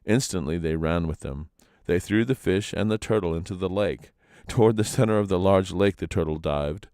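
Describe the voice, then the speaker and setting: A man narrating legends as a storyteller, in a dramatic, expressive reading. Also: low-pitched, normal speed, no echo, clean studio sound.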